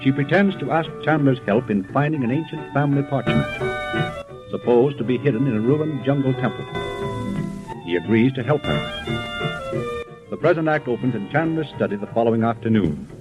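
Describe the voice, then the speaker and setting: A man's voice: mid-range voice, animated, moderate pace. A man narrating the story so far as the announcer of a 1930s radio drama serial. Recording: old radio recording under loud music.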